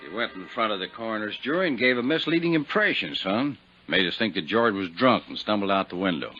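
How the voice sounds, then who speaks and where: Older, shrewd country lawman, blunt, gravelly low voice, dull vintage radio sound. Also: animated, fairly quick, pushed and bright, faint music behind. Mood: shrewd, musing. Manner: folksy, blunt. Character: folksy, shrewd older small-town sheriff. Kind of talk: 1950s radio drama acting. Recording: dull 1950s radio recording.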